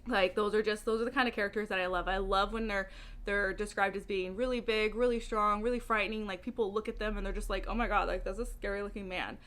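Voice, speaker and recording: Woman book vlogger, bright forward voice, animated casual chat, informal and emphatic, giggly delivery. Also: mid-range voice, fast talker. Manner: giggly, laughs often while talking. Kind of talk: casual chatty video monologue.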